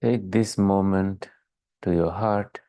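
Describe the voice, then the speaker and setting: A man leading a guided meditation as a teacher, calm and measured, leaving long pauses between short phrases. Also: low-pitched, clean tone, no room sound, lo-fi sound.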